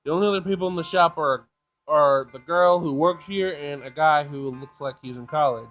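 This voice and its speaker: A man's voice: on the high side, normal speed, a bit bright, rough recording. A man hosting a let's play, reading game text aloud expressively, stumbling and rereading, and adding his own commentary. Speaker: gaming video host. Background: game music.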